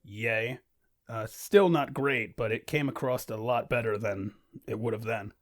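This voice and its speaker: A man's voice: medium pitch, a little slow. A young man narrating a reflective, informal video in a self-deprecating manner, as an amateur voice actor.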